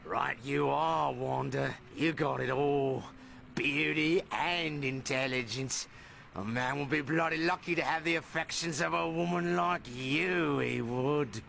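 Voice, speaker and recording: A smarmy flirty rogue game character, really gravelly croak, slow, bit of noise behind. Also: male voice, fairly high pitch, fairly bright tone, full character voice. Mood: flirtatious. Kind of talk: video game cutscene voice acting.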